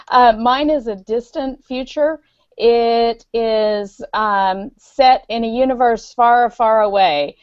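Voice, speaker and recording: A woman's voice: on the high side, slow, clean tone, no room sound. Woman guest speaking on a muffled call. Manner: earnest and explanatory, with fillers. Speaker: guest author. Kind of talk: casual conversation on a podcast. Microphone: video call.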